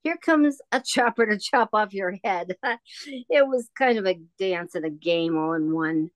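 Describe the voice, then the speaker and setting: A woman's voice: mid-range voice, animated, unhurried, no room sound, natural voice. Woman playing a wistful old shopkeeper lady, dry sound. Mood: wistful, chatty. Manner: rambling, hesitant, musing. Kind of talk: radio play acting.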